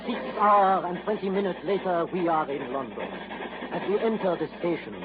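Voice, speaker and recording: A man with a French accent playing an excitable, loyal manservant in a radio drama, full of theatrical laments. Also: sits high, normal speed, background noise, lightly acted. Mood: excited storytelling. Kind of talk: 1940s radio drama acting. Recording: tinny old radio broadcast with music.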